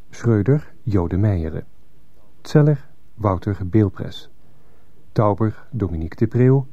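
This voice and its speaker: A man reading scripted radio drama credits as the announcer, in a formal, measured manner. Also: on the low side, warm tone, slightly rough, no echo. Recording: dull lo-fi radio recording.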